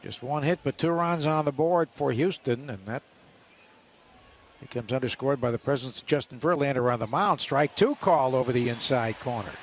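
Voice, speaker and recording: A man's voice: mid-range voice, normal speed, slight background noise. A man working as a radio announcer, calling live baseball play-by-play.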